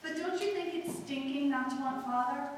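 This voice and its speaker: Woman playing an eager high-pitched teenage girl, echoey hall. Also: normal speed, lightly acted. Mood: nosy, insistent. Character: outspoken, nosy young teenage sister, eager and gossipy. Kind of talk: live old-time radio play acting. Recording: very echoey hall, distant mic, faint noise.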